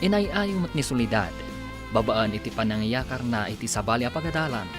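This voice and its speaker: A man's voice: mid-range voice, expressive, brisk. A man with a Filipino accent, narrating and announcing a scripted radio drama. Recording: music under the voice. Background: music.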